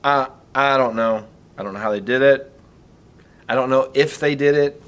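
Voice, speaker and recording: Middle-aged man, sharp-edged bright tone, casual reflective vlogger, earnest, stop-and-start delivery. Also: medium pitch. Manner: halting, earnest, thinking aloud. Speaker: vlogger and teacher. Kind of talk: casual, reflective vlog talk to camera.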